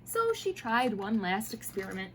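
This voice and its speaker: A woman reading picture books aloud to children in an expressive, playful way, talking directly to her young listeners. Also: medium pitch, moderate pace, slight background noise, poor audio. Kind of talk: animated storybook read-aloud.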